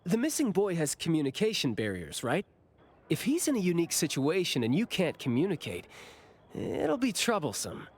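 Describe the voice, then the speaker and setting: An actor playing a playful, teasing senior bureau officer in an anime game, with drawn-out, theatrical delivery. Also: mid-range voice, wildly swooping pitch, slightly rough. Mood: confident, easygoing.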